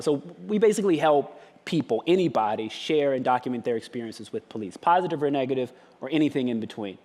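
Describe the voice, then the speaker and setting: A man in a live panel talk with a mid-pitched voice, earnest and searching for words. He restarts and pads his sentences with fillers. His voice rings with the long reverb of a large hall. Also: darkish tone. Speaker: nonprofit founder, panelist. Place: conference hall.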